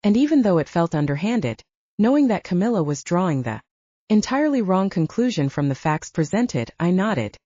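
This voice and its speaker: A woman's voice: lowish voice, very animated, normal speed, mellow, dry recording, muffled recording. A woman reading first-person fiction as a scripted audiobook narration.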